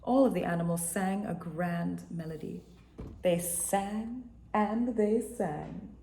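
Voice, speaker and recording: A woman reading a children's picture book aloud with an expressive storytelling manner. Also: fairly low pitch, vocal fry, slight background noise. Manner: expressive, slow, storytelling delivery. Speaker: storybook reader. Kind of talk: children's read-aloud narration. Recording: echoey room.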